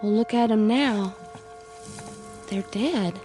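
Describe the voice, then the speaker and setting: A woman's voice: medium pitch, slow pace, mellow, faint music behind, lightly acted. A woman playing a stubborn, nervous young rural American sweetheart in a radio drama. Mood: uneasy. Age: young adult.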